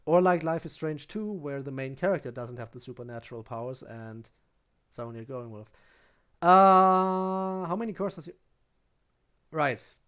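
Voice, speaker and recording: A man's voice: a little high, animated, measured pace, fairly bright tone, clean tone. A German man with a German accent, chatting casually with viewers during a live game stream, rambling and often restarting his sentences. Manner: rambling, with frequent restarts while answering chat. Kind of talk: casual live-stream chat while gaming.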